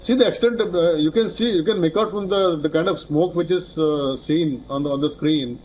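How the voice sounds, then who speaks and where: A man with an Indian accent giving expert commentary in a live news interview, speaking haltingly and repeating words as he goes. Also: fairly high pitch, darkish tone, slight background noise. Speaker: aviation expert, interview guest.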